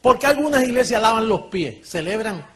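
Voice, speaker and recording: High-voiced, animated male preacher. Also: fairly quick. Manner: animated, swings from low asides to shouting; laughs often while preaching. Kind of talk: sermon.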